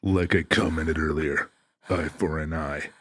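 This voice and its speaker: A man playing a grim, vengeful, commanding figure with a gravelly, husky voice in an audiobook thriller. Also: rumbling low, steady tone, a little slow, fairly bright tone, no echo, clean studio sound, heavily acted. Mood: grim menace. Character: vengeful, menacing man hiring a torturer. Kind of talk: dramatized audiobook character acting.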